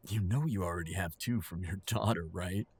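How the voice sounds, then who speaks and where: A man acting a supportive older husband and father in an audio drama, steady, reassuring and given to gentle teasing. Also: low voice, brisk, slightly rough, dry recording, studio quality. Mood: amused.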